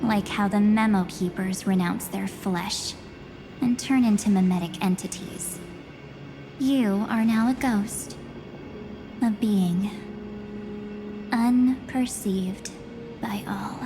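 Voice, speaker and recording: Game villainess: grandiose seductress, unhurried and menacing, in long reverb over music. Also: female voice, medium pitch. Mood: ominous, cold. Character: menacing, seductive, grandiose female keeper of memories. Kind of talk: theatrical video game voice acting.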